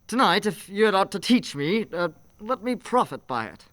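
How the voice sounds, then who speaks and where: A man as a high-voiced, anxious old miser. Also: sing-song, moderate pace, a bit bright. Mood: earnest. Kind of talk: old-time radio play acting. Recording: echoey, long reverb, faint music.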